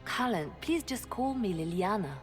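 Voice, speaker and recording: A woman's voice: medium pitch, lively intonation, moderate pace, close to an everyday voice. An actress playing a composed, shrewd female religious leader in a video game, at ease and informal with friends. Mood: gentle. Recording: echoing hall, faint music behind.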